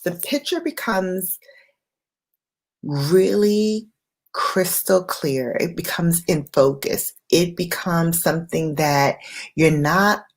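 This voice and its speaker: A woman hosting an impromptu late-night livestream, speaking in an unhurried, reflective way in short, paused phrases. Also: lowish voice. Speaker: livestream host.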